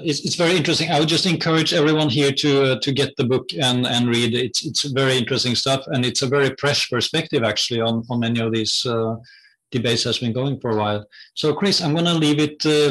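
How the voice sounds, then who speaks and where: Guy hosting a book launch, medium pitch, informal, bit halting. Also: a bit bright, rough recording. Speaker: event host.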